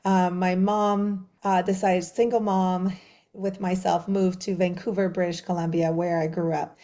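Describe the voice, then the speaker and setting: A woman's voice: fairly low pitch, level tone, a little slow, mellow. A middle-aged woman from Quebec City whose first language is French, a guest author telling her story in a bubbly, rambling way with many fillers. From Quebec City, Canada. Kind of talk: conversational storytelling.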